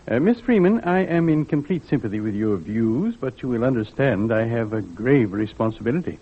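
An actor playing a kindly, avuncular judge in an old-time radio drama, genial and deliberate, with a husky voice. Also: male voice, medium pitch, lively intonation. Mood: measured, grave. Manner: genial, measured, hemming. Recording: tinny, muffled vintage radio recording.

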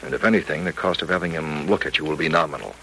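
Deep, earnest radio-drama doctor. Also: male voice, very fast, gravelly, sharp tone, exaggerated voice. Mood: earnest, insistent. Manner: patient, persuasive, professional. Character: earnest, firm, reassuring male doctor. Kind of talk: old-time radio serial drama acting. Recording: noisy vintage radio drama recording.

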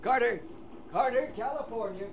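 An actor playing a chatty, obliging small-town American train conductor in 1940s radio drama. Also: male voice, very high-pitched, moderate pace, highs cut off. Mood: calling out. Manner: folksy, chatty, calling out stops. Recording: noisy old radio recording, train ambience.

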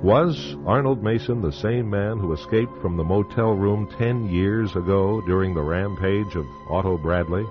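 A man hosting and narrating an old-time radio drama in a measured, ominous manner. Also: fairly low pitch. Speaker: show host and narrator. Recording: dull lo-fi radio broadcast with music.

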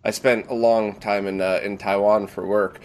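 A man's voice: lowish voice, little pitch movement, normal speed, darkish tone, clear, resonant tone. An American man hosting a casual interview chat, informal and easily amused. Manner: amused, laughs readily, informal. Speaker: interviewer, host.

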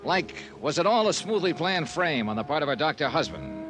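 An actor with an American accent playing a tough, sardonic, self-assured investigator in an old-time radio drama, clipped and wry in dialogue and narration. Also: male voice, on the high side, very animated, a little fast, over music. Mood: brisk, narrating.

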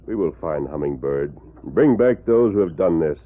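A man's voice: rumbling low, lively intonation, normal speed, phone-quality sound. An actor playing a heroic, level-headed western lawman, firm and formal in an old-time radio drama. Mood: earnest, firm. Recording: noisy, band-limited vintage radio recording.

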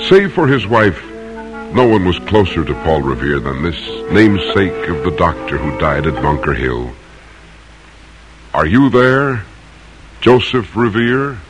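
An actor as a solemn, measured storyteller of American history in dramatic old-time radio narration. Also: male voice, low voice. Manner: measured, solemn, poetic phrasing. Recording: vintage radio recording. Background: music.

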